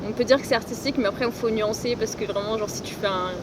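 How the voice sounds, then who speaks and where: A woman's voice: a little high, quick pace, noisy background. A woman of nineteen, from Italy, a design student chatting as a podcast guest outdoors, laughing often and filling her talk with "genre". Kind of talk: casual chat. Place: outdoors in a city on a hot day.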